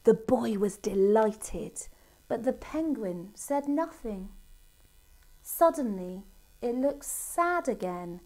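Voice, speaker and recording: A middle-aged woman reading a children's storybook aloud expressively, with deliberate dramatic pauses. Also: a little high, lively intonation, a little mellow. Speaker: storybook reader. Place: indoor room by a Christmas tree. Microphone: camera a short distance away.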